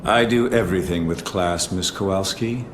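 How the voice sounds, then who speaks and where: An actor playing a suave, smug criminal mastermind in a superhero story, savouring words in a grandiose, theatrical way. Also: male voice, sits low, moderate pace, a little hiss or hum, lightly acted. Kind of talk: superhero film villain acting. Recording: roomy echo, loud music underneath.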